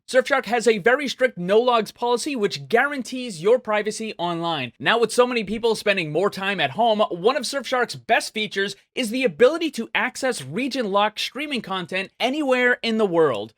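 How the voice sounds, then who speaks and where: Male gaming host, upbeat commentary, forceful bright voice, very dry close sound. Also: high-pitched, dry recording. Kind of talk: upbeat video commentary answering viewer questions.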